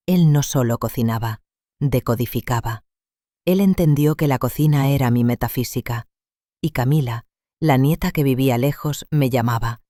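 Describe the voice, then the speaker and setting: A man reads a first-person story aloud in a calm, measured, reflective voice. His tone is mellow and dark, and the recording is dry, with no room sound around him. He keeps a steady, unhurried storyteller's delivery. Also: mid-range voice, no room sound. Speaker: narrator.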